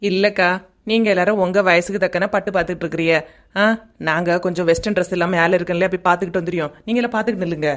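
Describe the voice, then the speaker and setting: A woman's voice: lowish voice, steady tone, racing, lightly acted. A woman voicing a cheeky, bossy young village woman in a cartoon, with a Kanyakumari Tamil accent and a teasing manner. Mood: teasing, impatient. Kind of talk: cartoon comedy dialogue.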